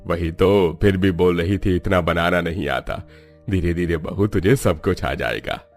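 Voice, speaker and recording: A man puts on an easygoing middle-aged family patriarch for a cartoon. His voice is dropped low and reassuring, with music underneath. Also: a little slow, a little mellow.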